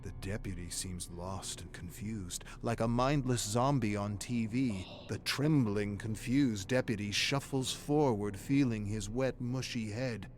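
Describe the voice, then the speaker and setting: A man with a fairly low voice tells a grim horror tale as its narrator. His delivery is measured, grave and brooding, scripted and dramatic, with faint music underneath. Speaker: story narrator.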